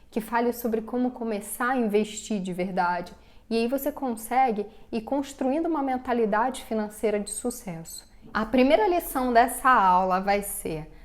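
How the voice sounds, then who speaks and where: A woman with a Brazilian Portuguese accent giving a direct-to-camera personal finance lesson, talking straight to the viewer and acting out the viewer's doubts. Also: mid-range voice. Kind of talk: direct-to-camera scripted explainer.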